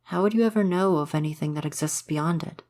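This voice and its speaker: A man voicing a gentle, shy, curious forest spirit guardian in an intimate ASMR roleplay, speaking in careful, formal, hesitant phrases. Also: a little high, a little fast, close to an everyday voice. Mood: earnest, uneasy.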